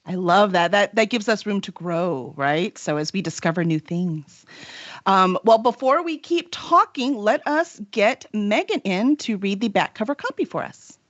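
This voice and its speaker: A woman's voice: mid-range voice, lively intonation, a little slow, no room sound, dull audio. A woman hosting a casual interview as a book publisher, speaking in an effusive, chatty manner. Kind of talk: casual interview, cover reveal.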